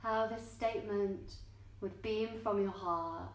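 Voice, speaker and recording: A woman leads a guided meditation in an echoing indoor yoga studio. She speaks very slowly and gently, in short measured phrases separated by long pauses. Her voice sits at a medium pitch for a woman. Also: fairly even intonation. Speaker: yoga nidra teacher.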